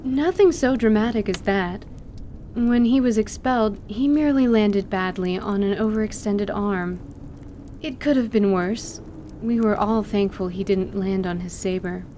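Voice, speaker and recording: A woman's voice: mid-range voice, a little slow, dark tone, a little hiss or hum, lightly acted. A woman playing a courteous, learned half-elf in an audio drama, speaking formally and articulately. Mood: composed, instructive. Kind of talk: audio drama acting of a tabletop campaign.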